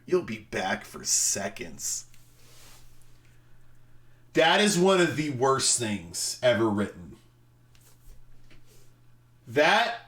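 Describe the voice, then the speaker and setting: Man in a theatrical, wildly animated delivery. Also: mid-range voice. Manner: theatrical, emphatic, swooping delivery with pauses. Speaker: streamer. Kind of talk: dramatic reading of a film review.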